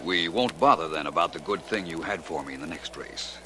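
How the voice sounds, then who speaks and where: An actor playing a calm, assured private detective in a 1940s radio drama. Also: male voice, low voice, a little fast. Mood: cool, probing. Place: radio studio. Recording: slightly noisy vintage radio recording.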